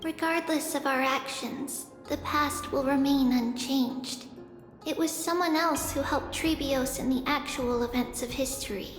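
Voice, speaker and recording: A woman voicing a gentle, wistful young female guide in a video game, speaking in a soft, measured, solemn way. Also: high voice. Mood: wistful, reassuring. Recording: reverberant hall effect under music.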